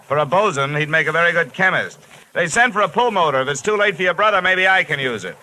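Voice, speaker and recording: An actor playing a tough, wisecracking insurance investigator in an old radio detective drama, brusque, clipped and wry. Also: male voice, a little high, lively intonation, quick pace, close to an everyday voice. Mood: urgent, clipped. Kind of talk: 1950s radio detective acting and narration. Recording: noisy old-time radio recording.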